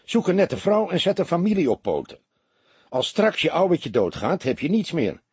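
A man plays a seasoned police detective in a crime story. He is dry and mocking, lecturing like a father. His voice is very raspy, with a lively melody. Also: fairly high pitch, normal speed, no room sound, voice pushed up, exaggerated voice. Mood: wry, lecturing.

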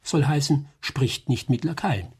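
An actor playing an informal, wry investigator in a radio drama, reporting in a casual, clipped manner. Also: male voice, mid-range voice, expressive, measured pace, darkish tone, husky, exaggerated voice. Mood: wry, businesslike.

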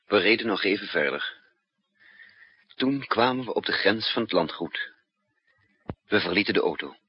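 Bold hunted double agent, husky, emphatic, lively pitch, muffled old radio drama recording. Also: male voice, moderate pace, no room sound. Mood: narrating. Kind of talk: 1960s radio drama acting with first-person narration. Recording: dull, noisy, roomless 1960s radio recording.

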